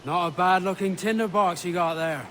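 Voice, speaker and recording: An actor playing a salty, skeptical, blustering veteran pirate with hearty seafaring talk in a video game. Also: male voice, high voice, normal speed, fairly bright tone, slight background noise. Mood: skeptical, needling. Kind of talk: video game cutscene acting.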